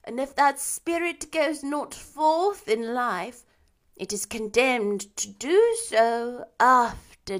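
A woman voicing a mournful male ghost bound in chains, booming and drawn out in a storybook reading. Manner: loud, drawn-out, lamenting delivery.

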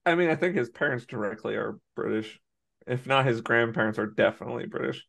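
An American man with a gravelly voice. He talks in a loose, rambling way, restarts his sentences and laughs often, like a podcast co-host chatting with a friend. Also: mid-range voice, a little fast, a bit bright. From United States. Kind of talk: casual chat.

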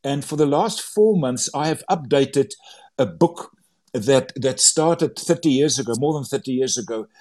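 An eager man with an Afrikaans accent, speaking English as his second language at an ordinary mid pitch. He chats freely as a guest, repeating and restarting words as his thoughts run ahead of him. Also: lively intonation, normal speed, a little mellow. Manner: enthusiastic, many stumbling repeats and restarts. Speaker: author and Bible translator. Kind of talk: casual interview conversation.

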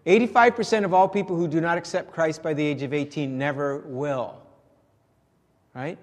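A man's voice: fairly high pitch, steady tone, moderate pace. A man preaching to a congregation, explaining points with fillers and restarts. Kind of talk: sermon to a congregation. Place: church sanctuary. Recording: reverberant church hall.

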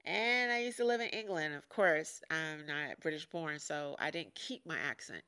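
Deep nasal woman in her forties, podcasting. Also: lively intonation, normal speed, bright, forceful tone, clean tone. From Chicago, USA. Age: 40s. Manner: frank and joking, laughs often. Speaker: podcast host. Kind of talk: casual candid monologue.

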